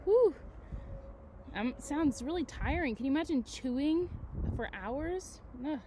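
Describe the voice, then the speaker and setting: A woman's voice: sits high, animated, unhurried, dark tone, a little hiss or hum. A woman teacher reading a picture book aloud to children, expressively, with chatty asides and questions to her young listeners.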